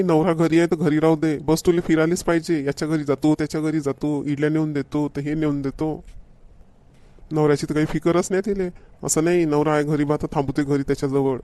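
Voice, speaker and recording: Cartoon husband, middle-aged, bossy grumbler with Varhadi Marathi accent male performer; higher-set voice, dry studio-like sound. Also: warm tone, no room sound, close to an everyday voice. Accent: Varhadi Marathi of Vidarbha. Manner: nagging, repetitive complaining. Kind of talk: amateur cartoon comedy dialogue.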